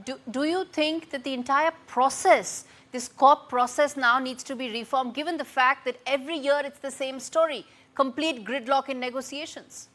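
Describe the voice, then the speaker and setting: A woman anchoring a TV news segment, briskly framing a story and questioning a guest. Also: sits high, fairly even intonation, fairly bright tone. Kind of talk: news interview hosting.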